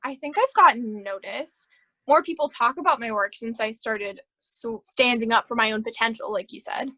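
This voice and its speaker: Woman playing an eager, unsure female office junior, high-pitched, bright tone, tentative, halting, staged play reading. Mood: tentative, compliant. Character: hesitant, eager young female office junior being recruited.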